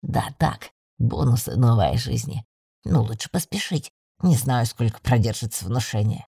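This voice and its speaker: A cheeky axolotl familiar from a fantasy audiobook speaks through a woman narrator in a lowered, husky male voice. He is self-assured and fondly patronizing, with a mellow, teasing edge. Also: expressive, moderate pace, dry recording, clean studio sound, full character voice. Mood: calm, assured.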